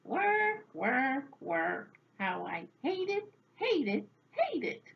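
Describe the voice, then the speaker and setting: A woman voicing a grumbling, exasperated little old woman with a whiny, drawn-out way of complaining. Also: very high voice, big pitch swings, vocal fry, highs cut off, slight background noise, pitched above the performer's own voice, exaggerated voice.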